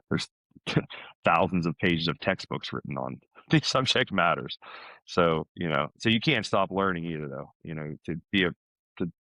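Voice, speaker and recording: A man's voice: sits low, pushed and bright, dry recording, poor audio. A man speaking as a podcast guest in a casual, chatty, informal conversational style, often dropping in "man" and "you know".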